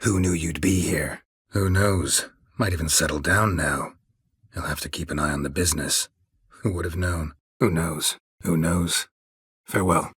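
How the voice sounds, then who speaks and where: An actor playing a stoic, world-weary monster hunter in a video game, with a gravelly, husky voice and terse, dry, deadpan delivery. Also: male voice, deep voice, moderate pace, no echo, exaggerated voice. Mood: inquisitive, terse.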